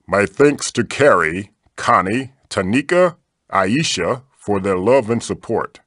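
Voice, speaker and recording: Game mask spirit: mid-pitched, wry, playful, theatrical, unhurried and very animated. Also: male voice, dry recording, clean studio sound, heavily acted. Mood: playfully grand. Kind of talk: comic video game character voice acting.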